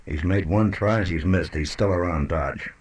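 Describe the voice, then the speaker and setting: An actor playing a tough, coolly menacing western marshal in an old-time radio drama, laconic, sardonic and commanding. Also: male voice, deep voice, normal speed, breathy edge.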